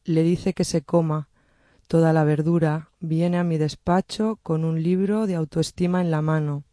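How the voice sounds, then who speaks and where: A speaker reciting a poem in a measured, soft-spoken way. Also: mid-range voice, steady tone, no echo, studio quality. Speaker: poetry reader. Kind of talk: slow poetry recitation.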